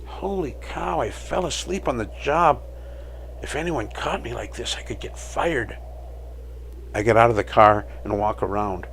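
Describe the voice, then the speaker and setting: A man playing a weary, sleepless night-shift guard, telling his story as a measured dramatic monologue in an audio play. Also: medium pitch, fairly bright tone, slight background noise. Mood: startled, anxious. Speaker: narrator of a short audio play. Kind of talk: scripted first-person dramatic monologue.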